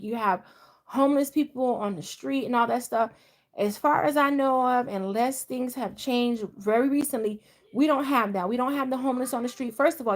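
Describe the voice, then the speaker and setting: Middle-aged woman, slightly high voice, casual rambling livestream host. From Delaware, US. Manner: rambling, conversational, frequent restarts. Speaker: vlogger. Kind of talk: casual live chat.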